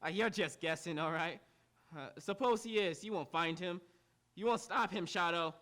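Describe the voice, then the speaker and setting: A man's voice: sits high, moderate pace, fairly bright tone. A man playing a panicked, cornered criminal in a live radio drama, frantic and pleading. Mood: frantic fear. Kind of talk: live old-time radio drama acting.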